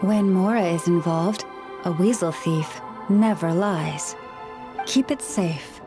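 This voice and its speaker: Gentle, caring woman of the forest, music behind. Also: lowish voice. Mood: kindly. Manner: gentle, soothing, measured. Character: gentle, caring woman who befriends and looks after forest animals. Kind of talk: video game character dialogue.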